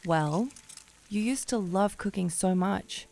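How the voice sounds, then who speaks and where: A woman playing a gentle, supportive middle-aged mother, speaking tenderly and reassuringly in an audio drama. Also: medium pitch, takes it slowly, creaky.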